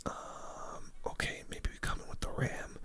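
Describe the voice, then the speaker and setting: A young man speaks in a steady whisper, very bright and sibilant. He gives informal, relaxed ASMR game commentary right up close to the microphone. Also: measured pace. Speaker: gaming video creator.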